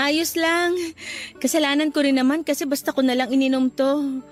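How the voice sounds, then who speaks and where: Gentle young female office worker, Filipino accent, high-pitched, anxious, radio drama, faint music. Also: level tone, a little slow. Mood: shy, friendly.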